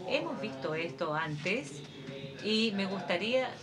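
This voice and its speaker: A woman giving a simultaneous interpretation of a formal conference speech in a steady, measured delivery with occasional hesitations. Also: mid-range voice, crowd noise. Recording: audience murmur beneath the voice.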